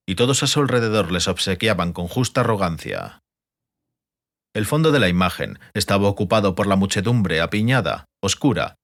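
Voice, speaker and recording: A man reads literary fantasy as an audiobook narrator. His voice is on the low side, and his intonation moves widely and expressively. The delivery is scripted storytelling. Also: fairly bright tone.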